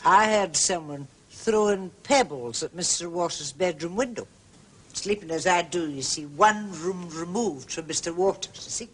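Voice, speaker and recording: An actress playing a prim, gossipy and indignant landlady in a period mystery drama, husky and emphatic, forever justifying herself. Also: on the low side, moderate pace. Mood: earnest, insistent. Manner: emphatic, self-justifying, repetitive.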